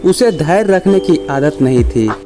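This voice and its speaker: Male narrator for children's moral stories, slightly high pitch, unhurried measured read over a prominent music bed. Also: lively intonation. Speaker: storyteller.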